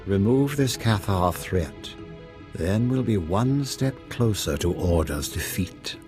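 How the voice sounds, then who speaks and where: An actor playing a cold, commanding Sith lord in a video game, speaking with formal authority. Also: male voice, fairly low pitch, lively intonation, slow, music in the background.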